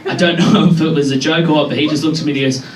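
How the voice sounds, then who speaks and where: A young Australian man performing a chatty, self-deprecating stand-up set with quick asides to a live pub crowd. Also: on the high side, steady tone, far from the mic. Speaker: stand-up comedian. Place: pub comedy room with a live audience. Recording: echoey room taped from afar, loud crowd laughter.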